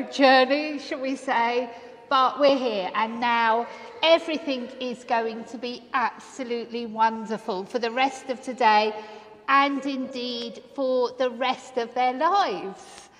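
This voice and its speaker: A woman vicar leading a wedding service in a large stone church, speaking in measured liturgical phrases and guiding the couple through their vows. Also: a little high, fairly even intonation. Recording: long church reverb.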